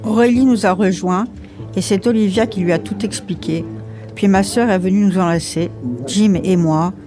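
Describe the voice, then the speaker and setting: A woman's voice: medium pitch, fairly even intonation, moderate pace. A woman reading scripted first-person narration from a novel. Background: faint music.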